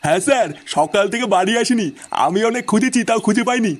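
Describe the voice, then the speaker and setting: A man's voice: sits high, lively intonation, fast. A man voicing a worried, earnest old grandfather in a comic cartoon. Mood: plaintive. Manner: earnest, pleading, consoling. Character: worried, plaintive old grandfather of missing boy.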